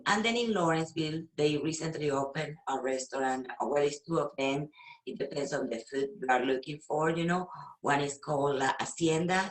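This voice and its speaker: A Venezuelan woman, a real estate agent whose first language is Spanish, talking casually in an interview with many fillers and pauses. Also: low-pitched, roomy sound, low-quality recording. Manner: hesitant, with frequent fillers and laughs.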